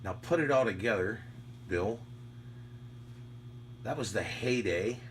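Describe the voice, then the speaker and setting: A man's voice: on the low side, animated, slow pace. An American man, an amateur radio operator, rambling casually and nostalgically in a reflective, conversational monologue. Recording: clearly noisy audio with hum.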